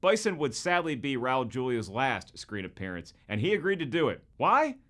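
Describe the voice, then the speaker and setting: A man's voice: medium pitch, sing-song, moderate pace, fairly bright tone. A man hosting scripted comedic commentary, with a wisecracking manner full of rhetorical questions. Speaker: host and comedian.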